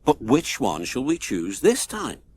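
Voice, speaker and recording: Guy doing pushy excitable villagers from a kids' storybook, super swoopy pitch. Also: unhurried, slight background noise. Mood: wondering. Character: excitable, demanding crowd of storybook neighbors.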